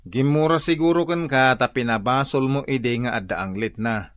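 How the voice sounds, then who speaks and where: A man's voice: medium pitch, normal speed, fairly bright tone, dry recording, clean studio sound, lightly acted. A man playing an exasperated, chiding village husband in a comedic Ilocano radio drama.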